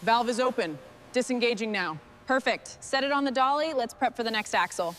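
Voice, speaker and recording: A woman's voice: on the high side, animated, a little fast, fairly bright tone. A woman playing a focused restoration crew boss, giving short orders in acted workshop dialogue. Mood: brisk, commanding. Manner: short, instructing phrases. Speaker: crew leader in a truck restoration.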